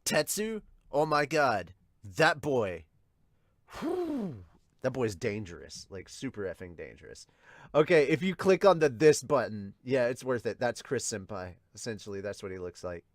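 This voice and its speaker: A man's voice: mid-range voice, sing-song, normal speed, no room sound, studio quality. A man co-hosting an anime fan podcast, talking casually and bluntly in a rambling, sometimes sweary way to viewers. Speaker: co-host of an anime and manga podcast.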